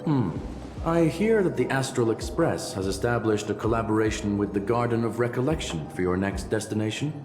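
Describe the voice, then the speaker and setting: A man voices a detached robot genius. He talks in a measured, analytical way, with a very low voice. A long reverb and quiet music sit behind him. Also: natural voice. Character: aloof male robot genius scholar. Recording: roomy reverb with game music.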